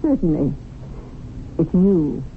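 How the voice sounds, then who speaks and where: Radio play schemer: cool, ambitious, unhurried, lowish and expressive, muffled vintage sound. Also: female voice, heavily acted. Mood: cool, assured. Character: coolly confident, scheming, ambitious woman. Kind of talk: radio drama acting. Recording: muffled, noisy vintage radio drama recording.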